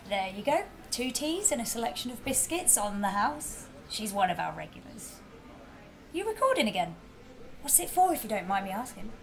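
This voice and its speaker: Audio drama actress: chatty, curious waitress, highish pitch, lively delivery. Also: female voice, normal speed. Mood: friendly, curious. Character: friendly, curious female cafe server.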